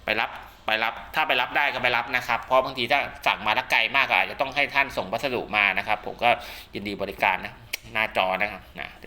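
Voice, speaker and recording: A man giving a casual product demonstration as a seller, rambling and repeating himself with frequent polite tags. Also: mid-range voice. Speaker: radio seller and channel host presenting products. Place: at home during heavy rain. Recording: loud rain noise behind the voice. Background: heavy rain.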